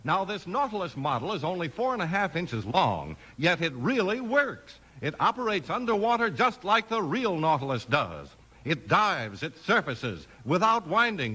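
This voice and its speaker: Male 1950s radio pitchman, American, husky and forceful, very animated delivery, emphatic. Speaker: announcer. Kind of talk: 1950s radio advertising and narration.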